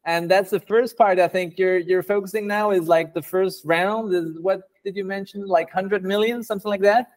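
Male podcast host, casual chatty questions, fairly high voice. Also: fairly even intonation, normal speed, few pauses. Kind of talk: podcast interview.

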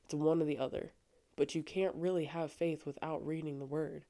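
A young adult woman with a low voice talking earnestly and casually on her faith podcast, with frequent fillers. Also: fairly even intonation, normal speed, warm tone. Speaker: podcast host. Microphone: studio microphone.

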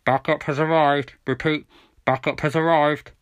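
A man's voice: fairly high pitch, level tone, moderate pace, no echo, pitched above the performer's own voice, natural voice. A man voicing an official police helicopter radio operator in an audiobook. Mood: official, urgent. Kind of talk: audiobook character voice.

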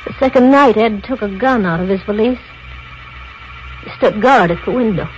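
Woman acting as a worn-out frightened wife, short quiet answers, old radio. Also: mid-range voice, normal speed, muffled recording, slight background noise, natural voice. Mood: strained. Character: strained young wife, frightened. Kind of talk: 1940s radio drama acting.

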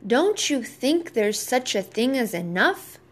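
A woman's voice: a little high, expressive, a little slow, slight background noise. A woman voicing an exasperated father in a children's story, reasoning insistently with his son. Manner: insistent, questioning, persistent.

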